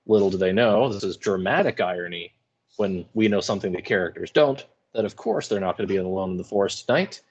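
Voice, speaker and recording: A man's voice: sits low, quick pace, a little mellow, breathy edge, muffled recording. A man teaching English in an informal video lecture, explaining with wry asides and some hesitation. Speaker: high school English teacher.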